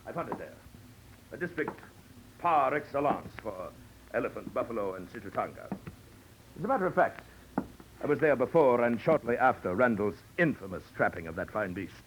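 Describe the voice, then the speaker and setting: An actor playing a pompous, suave big-game hunter villain with florid, mock-courteous speech, in 1940s radio drama style. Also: male voice, medium pitch, sing-song, moderate pace, lightly acted. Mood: insistent. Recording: noisy old 1940s radio recording.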